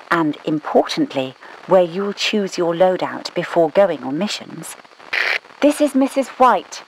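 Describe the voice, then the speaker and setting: A woman voicing a brisk British spymaster who gives mission orders over the radio in a dry, commanding manner, with a British accent. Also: on the low side, slight background noise, close to an everyday voice, poor audio. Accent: British English. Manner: clipped, dry, commanding, scolding. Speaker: mission controller. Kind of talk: game voice lines.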